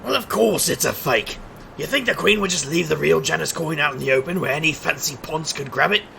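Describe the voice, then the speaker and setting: A cocky trickster detective played by a man in an audio drama, his voice heavy with gravel and edged bright. He works like a street magician, wry and theatrical, with showy patter over faint background noise. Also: a little high, brisk, full character voice. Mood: cocky, taunting. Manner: showman's sing-song patter, wry and theatrical. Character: male street magician private investigator, cocky, wisecracking trickster. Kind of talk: scripted audio drama acting.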